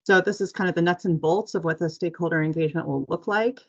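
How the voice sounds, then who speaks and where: A woman giving a measured, explanatory semi-formal presentation as agency staff on a muffled conference call. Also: on the low side.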